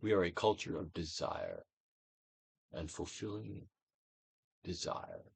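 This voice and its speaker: Deep-voiced man in dramatic, sweeping recitation. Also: wildly swooping pitch, takes it slowly, creaky, husky, dry recording. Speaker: actor reading a book aloud. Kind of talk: dramatic audiobook reading, theatrical Shakespearean delivery.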